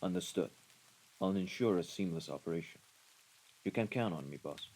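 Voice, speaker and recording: A man playing a composed, menacing mafia right-hand man in an audio roleplay, speaking in a formal, measured way. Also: sits low, animated, a little mellow, rough recording. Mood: calm, deferential. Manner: formal, measured, deliberate wording. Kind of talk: scripted audio roleplay acting. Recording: rain and ambience behind the voice.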